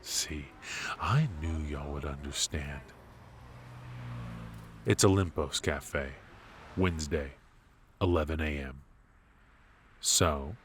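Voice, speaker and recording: A man playing a ruthless, teasing mafia boss in an intimate noir ASMR audio drama, speaking with smug, probing calm and musing pauses. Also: extremely low pitch, a little hiss or hum. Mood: calculating, curious.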